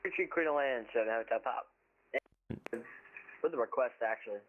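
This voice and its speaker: Fast-talking man pilot on the radio. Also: medium pitch, narrow, tinny audio. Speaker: virtual pilot. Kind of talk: radio calls to air traffic control.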